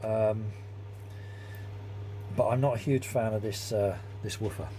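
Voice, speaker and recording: A man's voice: lowish voice, fairly even intonation, a little slow, warm tone. Casual man, noisy recording. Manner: matter-of-fact, informal, explanatory. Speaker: host, audio repair hobbyist or technician. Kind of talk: casual hands-on workshop commentary.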